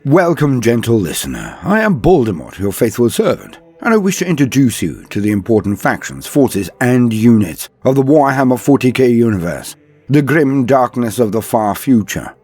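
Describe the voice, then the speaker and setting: A man delivering dramatic scripted narration as a host, solemn, theatrical and grandiose, with a husky voice. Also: medium pitch, big pitch swings, normal speed, darkish tone, faint music behind. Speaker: host and narrator of a lore show.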